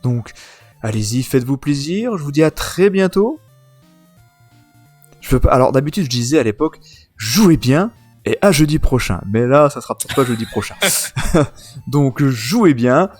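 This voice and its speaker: A man's voice: mid-range voice, expressive, normal speed, long pauses, a little mellow. Male podcast host, casual and informal, mid-range voice over background music. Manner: laughing often, informal. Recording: music playing behind the voice.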